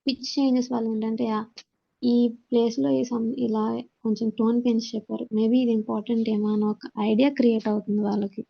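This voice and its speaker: Even-toned Telugu woman teaching, slightly gravelly voice. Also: a little high, fast talker, dull audio. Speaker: instructor teaching communication skills. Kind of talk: slide-based lesson, reading points aloud then explaining.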